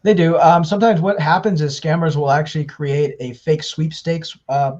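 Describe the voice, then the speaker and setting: A man's voice: on the high side, steady tone, moderate pace, darkish tone. A man speaking as an interview guest, explaining things conversationally at length with fillers and restarts. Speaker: interview guest, scam baiter. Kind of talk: casual interview talk. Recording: dull lo-fi audio.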